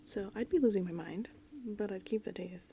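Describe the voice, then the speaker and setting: A woman doing casual, meandering ASMR in a breathy, whispered and softly spoken voice. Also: mid-range voice, brisk, muffled recording, slight background noise. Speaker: ASMR host. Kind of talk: ASMR rambling movie summary. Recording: steady rain and thunder ambience behind. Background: gentle rain and thunderstorm sounds.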